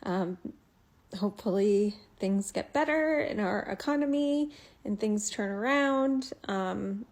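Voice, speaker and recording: A woman's voice: mid-range voice, slow pace. A woman who was laid off that day, talking in a subdued, hesitant personal vlog. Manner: subdued, hesitant with many ums.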